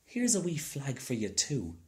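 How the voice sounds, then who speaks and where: A kindly woman of the village sweet shop, acted out by a male storybook reader, welcoming and folksy. The pitch swings freely and the words come unhurried. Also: wildly swooping pitch, mellow, slight background noise. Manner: folksy Irish turns of phrase.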